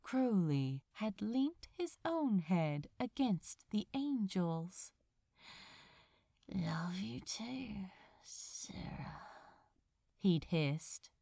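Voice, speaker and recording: Woman doing dramatic audiobook reading, really lively pitch swings, takes her time, dry sound. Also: no room sound. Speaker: fan fiction narrator. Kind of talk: dramatic scripted audiobook narration.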